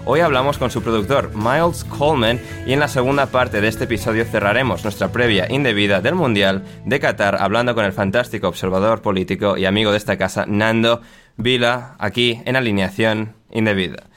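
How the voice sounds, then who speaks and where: Chatty podcast host. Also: male voice, fairly low pitch, fairly quick, a bit bright, soft background music. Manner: chatty, rambling with fillers and restarts. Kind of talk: casual podcast interview.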